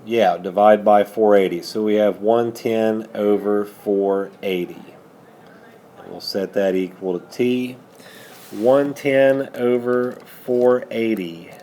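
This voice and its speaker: A man's voice: on the low side, steady tone, slow, warm tone, slight background noise. A man working methodically through a math lesson as a teacher, patiently prompting students with questions. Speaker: high school algebra teacher.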